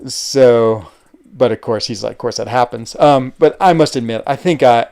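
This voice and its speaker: A young man with an American accent chats loosely to his viewers while he plays. His voice is smooth and resonant and sits at an ordinary middle pitch. He rambles along, full of fillers and small hesitations. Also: moderate pace, a little mellow. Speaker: gaming livestream host. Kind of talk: casual live-stream chat while playing.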